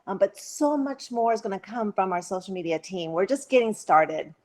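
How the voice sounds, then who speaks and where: A woman's voice: mid-range voice, animated, normal speed, a little mellow. Chatty woman on a video call. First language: English. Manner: chatty, laughs often, many fillers. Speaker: social media volunteer. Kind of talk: casual conference talk.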